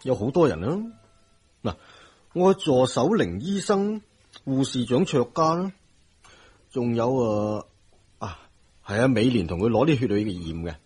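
A kindly middle-aged family doctor in a Hong Kong Cantonese radio drama. His voice is very husky and swings widely in pitch. He speaks in a measured, avuncular way, with some hesitation and regret. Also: sing-song, darkish tone. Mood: obliging, hesitant.

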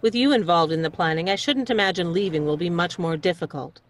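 A woman's voice: lowish voice, brisk, lightly acted. A woman playing a fierce, cool-headed rescuer in a radio mystery play. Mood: cold, commanding.

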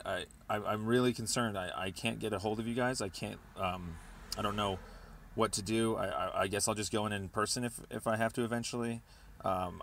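A man leaving a rambling voicemail, speaking in a hesitant, halting but insistent way, on a recorded phone call. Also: fairly low pitch, creaky, fairly bright tone.

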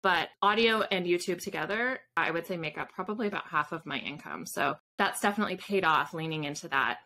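A woman with a bright, forward voice that sits a little low explains things casually, like a guest on a podcast. Her delivery is informal and conversational. There is some noise behind her on the call. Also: brisk. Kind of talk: casual interview chat. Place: small room at home with bookshelves, video call. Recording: faint background noise.

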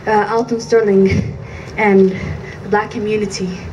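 A woman's voice: medium pitch, level tone, normal speed, lo-fi sound. Young woman poet at an outdoor rally. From Iraq. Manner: intense, rhythmic, emphatic delivery. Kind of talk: spoken word poetry at a rally. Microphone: handheld mic through PA, filmed from a distance. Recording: distant outdoor PA with faint noise.

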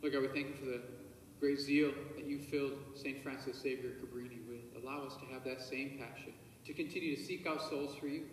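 A male priest saying Mass at the altar in a measured, solemn tone, heard from a distant camera in a large church. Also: mid-range voice, fairly even intonation. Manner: measured, solemn liturgical recitation, quiet murmured private prayers. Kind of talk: celebrating Mass, reciting liturgical prayers. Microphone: far camera. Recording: echoey church from far off, faint hiss.

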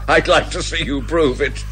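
An actor playing a fussy, sceptical sidekick in a radio detective comedy, questioning and incredulous. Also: male voice, on the high side, pushed and bright, full character voice. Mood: surprised, doubtful. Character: fussy, sceptical male sidekick, easily flustered. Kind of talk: 1980s radio comedy detective drama acting.

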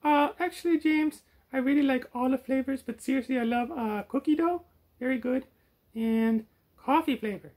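A man voicing a silly, cheeky, food-obsessed puppet creature in a squeaky, strained falsetto. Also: moderate pace. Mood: excitable. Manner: excitable, cheeky, teasing. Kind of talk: improvised puppet show.

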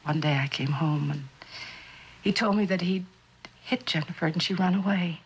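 An actress playing a middle-aged, genteel, grieving widow in a mid-century suspense drama, speaking haltingly and gravely in a husky, breathy voice. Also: female voice, fairly low pitch, dull audio. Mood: haunted, halting. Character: haunted, grieving widowed mother, genteel and fearful.

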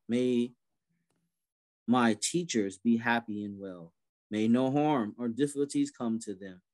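A man's voice: medium pitch, darkish tone. A man in his thirties hosting an online prayer event over a video call, talking in an easygoing way with many fillers and restarts. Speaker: event host.

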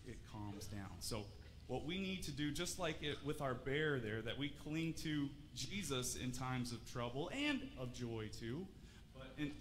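A man in his thirties giving a children's sermon in a church sanctuary, talking in a friendly way, asking questions and restarting often. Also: medium pitch. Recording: church hall reverb, faint room noise.

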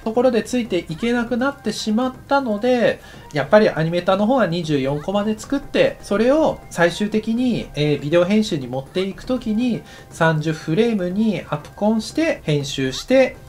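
Chatty man explaining with lively pitch. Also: normal speed, few pauses, faint music behind. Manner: relaxed and chatty, many fillers, lively rising and falling delivery. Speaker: anime director teaching a course. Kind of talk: explanatory screen-share lecture.

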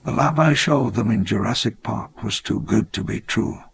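An actor playing a wistful elderly park founder, narrating his memoir in measured, reflective storytelling. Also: on the low side, vocal fry, slight background noise. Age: older. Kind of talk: scripted memoir narration in a game.

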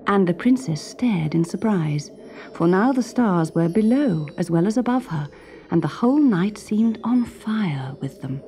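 A woman with a British accent reading a children's story as an expressive narrator. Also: mid-range voice, measured pace, a little hiss or hum.

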